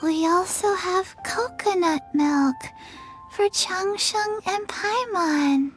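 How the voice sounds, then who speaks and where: A woman's voice: very high-pitched, slow, faint music behind. An actress playing a sweet, placid little girl in a video game. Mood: placid offering.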